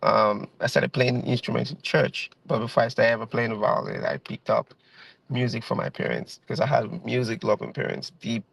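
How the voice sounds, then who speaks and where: A man's voice: mid-range voice, moderate pace, gravelly, a bit bright, slightly rough, no echo, low-quality recording. A man in his thirties with a Nigerian accent, a guest violinist chatting over a video call, rambling with lots of fillers and repeated phrases. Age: 30s.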